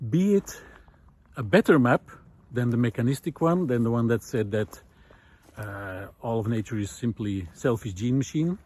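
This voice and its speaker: A man talking reflectively while walking on a forest path outdoors, pausing often with fillers and lifting his voice on key points. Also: mid-range voice, darkish tone. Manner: thoughtful, many pauses and fillers, rising emphasis on key points. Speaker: nature video essayist.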